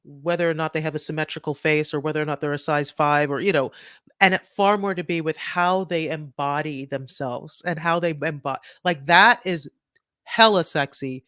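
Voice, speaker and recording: A middle-aged woman from Trinidad, a guest author talking in an animated way with many restarts and "right?" tags. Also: low-pitched, normal speed, no room sound, clean studio sound. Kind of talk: conversational interview.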